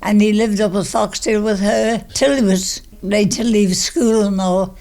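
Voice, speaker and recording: An elderly woman of about ninety with a Manx accent reminisces casually. She is plain-spoken and emphatic, often repeating her short answers, at an ordinary pitch. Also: steady tone, moderate pace, a little mellow. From Isle of Man. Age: 90s. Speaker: interviewee recalling childhood.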